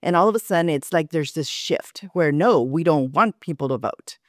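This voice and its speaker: A woman's voice: sits low, expressive, moderate pace, no echo, studio quality. A woman speaking earnestly on a panel discussion, explaining at length with quoted asides. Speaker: panel guest, community organizer.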